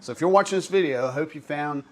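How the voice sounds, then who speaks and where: A man's voice: a little high, quick pace. An American man giving a casual demonstration as a product reviewer. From coastal North Carolina, US. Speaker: product reviewer presenting to camera.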